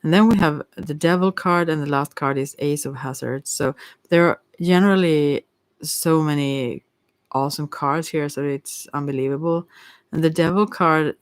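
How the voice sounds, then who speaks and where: A person giving a casual ASMR tarot reading, rambling and musing in a gentle, reassuring and hesitant way. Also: mid-range voice, fairly even intonation, normal speed, clean tone, no echo. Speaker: tarot card reader.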